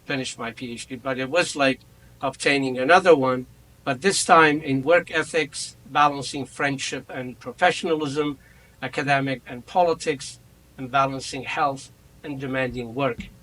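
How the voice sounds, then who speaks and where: A man in his fifties whose first language is Kurdish, chairing a memorial roundtable at an academic conference in a reflective, measured, personal way. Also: medium pitch, fairly bright tone, low-quality recording. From Iran. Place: conference room.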